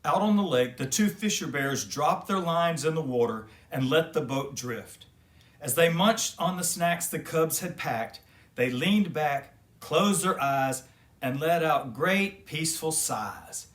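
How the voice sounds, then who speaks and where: A man reading a children's story aloud as expressive narration. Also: on the high side, measured pace. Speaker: children's story reader and host.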